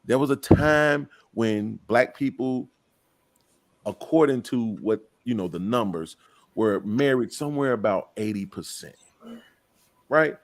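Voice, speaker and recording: A middle-aged man holding forth on a podcast panel, blunt and profane, preaching his opinions at length. His voice sits at an ordinary, mid-range pitch. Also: measured pace.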